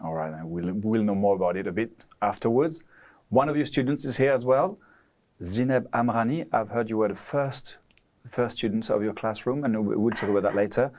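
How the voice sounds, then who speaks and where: A man hosting an interview, prompting guests in a brisk, friendly way. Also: lowish voice, a little mellow.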